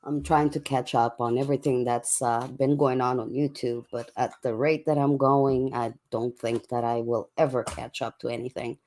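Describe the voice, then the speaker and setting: A livestream host chatting casually and in a laid-back way to viewers late at night, with a voice going hoarse from a cough. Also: medium pitch, level tone, moderate pace, hardly any pauses, a little mellow. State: sick with a cough, voice getting hoarse.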